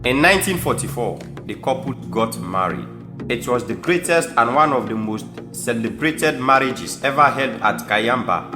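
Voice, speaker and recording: A man with a Sierra Leonean accent giving scripted storytelling narration for an audio drama. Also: mid-range voice. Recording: echoey room, faint music behind.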